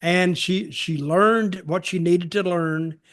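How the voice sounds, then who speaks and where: An older man chatting casually as a podcast co-host, giving opinions in a halting way with repeated, restarted phrases. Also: high voice, steady tone, fairly bright tone. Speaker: podcast co-host and wrestling veteran.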